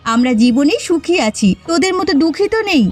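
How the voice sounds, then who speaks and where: A woman's voice: sits high, lively intonation, moderate pace. A woman voicing a proud, scheming, quarrelsome village wife in a cartoon, with a scolding, boastful manner. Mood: indignant, taunting. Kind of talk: animated cartoon dialogue. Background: background music.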